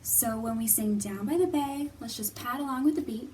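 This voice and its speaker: A woman's voice: fairly high pitch, normal speed, mellow. A woman presenting a children's storytime with singalong songs, encouraging and playful, explaining things simply for young children. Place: room in a public library. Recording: distant mic, background room noise.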